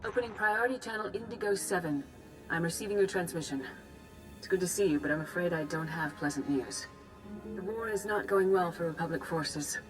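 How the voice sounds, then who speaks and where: Woman playing a low-voiced, solemn Jedi master. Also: faint music behind, close to an everyday voice, low-quality recording. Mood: grave, urgent. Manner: formal, measured, solemn. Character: grave, composed female Jedi master giving a war briefing. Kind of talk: scripted video game dialogue.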